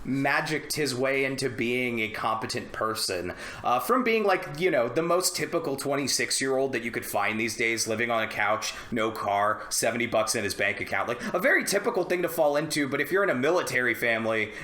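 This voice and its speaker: A man's voice: mid-range voice, fairly quick, few pauses, pushed and bright. A man chatting casually on a podcast, joking and rambling with plenty of swearing. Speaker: podcast host discussing films. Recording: roomy, echoey room.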